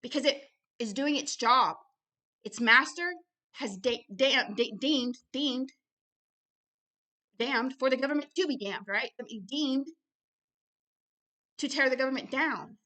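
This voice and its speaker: Emphatic, bright-voiced woman preaching. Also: sits high, unhurried, pauses often. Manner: emphatic, blunt, repeats phrases for stress. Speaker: ministry founder and host reading and commenting on a book.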